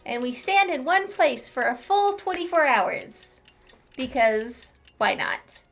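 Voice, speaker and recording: A woman's voice: high-pitched, very animated, slow, creaky, fairly bright tone. A woman giving casual, playful playthrough commentary as a gaming streamer, with little sing-song asides.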